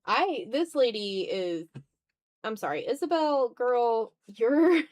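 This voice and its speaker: A woman's voice: a little high, animated, takes it slowly, darkish tone. A woman hosting a casual art livestream, thinking aloud in a self-deprecating way as she draws. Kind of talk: casual chat while drawing on a livestream.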